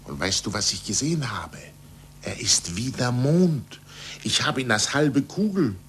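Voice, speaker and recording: An actor playing an impassioned, urgent astronomer in a 1940s radio play, speaking emphatically. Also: male voice, mid-range voice, animated, a little slow, slight background noise. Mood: excited, triumphant. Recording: husky-edged, bright 1940s radio recording.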